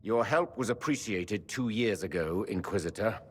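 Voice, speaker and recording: A man's voice: fairly low pitch, lively intonation, normal speed, husky. An actor playing an indignant, suspicious nobleman in a fantasy game, speaking in formal, accusatory oratory. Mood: indignant, accusing. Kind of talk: dramatic fantasy game acting. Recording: hall reverb.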